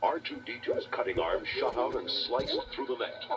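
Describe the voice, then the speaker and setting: A man's voice: mid-range voice, wildly swooping pitch, normal speed. A man giving dramatic scripted narration for a children's read-along adventure. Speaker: storybook narrator. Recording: old cassette, music behind. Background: music.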